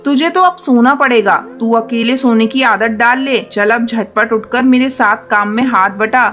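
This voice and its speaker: A woman's voice: a little high, normal speed, fairly bright tone, natural voice. A woman voicing a cruel female crow wife who scolds sharply. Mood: harsh.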